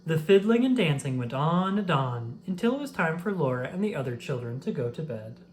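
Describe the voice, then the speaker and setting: A storyteller reading a children's picture book aloud in a slow, gentle manner. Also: mid-range voice, sing-song, a little hiss or hum.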